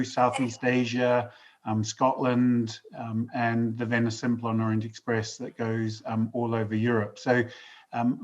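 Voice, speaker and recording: A man raised in New Zealand introducing himself on a panel, measured and full of ums. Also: medium pitch. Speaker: panelist, luxury trains executive. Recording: dull lo-fi line with faint hiss.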